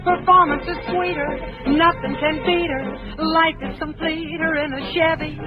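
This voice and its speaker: A woman singing a cheery 1950s car jingle in a bright manner, on a muffled old broadcast recording. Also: high-pitched, fairly even intonation, unhurried, loud music behind the voice. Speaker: jingle singer.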